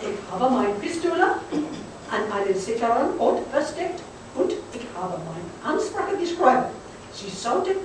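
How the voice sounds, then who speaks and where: A woman playing a reluctant, deadpan male German saboteur in a live comic radio play. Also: fairly high pitch, measured pace. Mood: stiff. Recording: echoey room, distant mic, noisy background.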